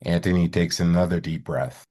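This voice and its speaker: A man with a very low, husky voice narrates a screenplay. He reads out scene headings and actions at a table read. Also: steady tone, normal speed, dark tone. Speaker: actor reading stage directions.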